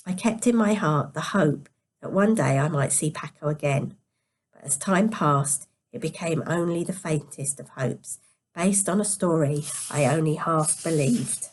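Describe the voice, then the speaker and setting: A woman reads a story aloud in a quiet, sleepy voice, careful but with the occasional stumble over the words. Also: low voice, measured pace, a little hiss or hum. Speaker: storyteller reading a novel aloud. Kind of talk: scripted story narration.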